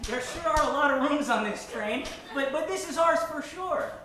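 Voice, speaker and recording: A woman playing a naive, eager young male sidekick with a halting, earnest delivery in an old-time radio comedy. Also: high voice, animated, a crowd in the background. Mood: polite, timid. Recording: echoey stage, distant from mic.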